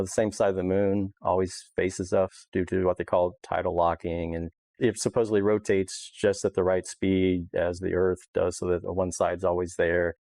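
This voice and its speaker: Low-voiced man, casual and rambling. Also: a little mellow, no echo. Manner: rambling, informal, peppered with "you know". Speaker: podcast guest. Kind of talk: casual unscripted interview talk.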